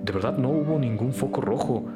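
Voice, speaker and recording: A man's voice: medium pitch, brisk, loud music behind the voice, natural voice. A man playing a polite, defensive school director in a crime audio drama, formal and placating.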